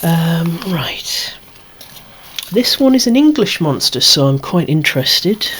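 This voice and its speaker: A softly whispering collector presenting a toy collection ASMR-style, in a rambling, chatty way with lightly wry asides. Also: medium pitch, very animated, takes it slowly, a little hiss or hum. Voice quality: breathy, hushed whisper.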